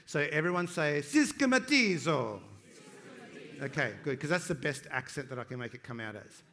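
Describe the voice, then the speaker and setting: A man preaching a sermon, swinging between loud, emphatic declarations and quiet, joking asides to the congregation, slowing down to stress key phrases. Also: fairly high pitch, lively intonation. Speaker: pastor.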